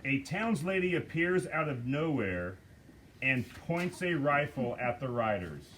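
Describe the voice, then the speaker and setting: A man reading the stage directions aloud at a script table read, measured and deliberate. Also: mid-range voice, lively intonation, fairly bright tone, slight background noise.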